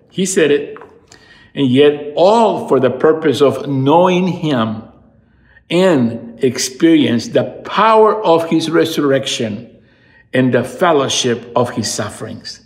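A man's voice: fairly high pitch, darkish tone. Earnest man in his sixties, echoey room. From Peru. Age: 60s. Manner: earnest, fervent, rising to emphatic peaks. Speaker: pastor. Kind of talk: devotional sermon.

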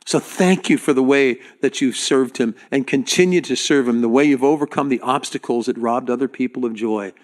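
A man preaching to his congregation as their pastor, speaking earnestly and directly with halting restarts. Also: medium pitch. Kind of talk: sermon.

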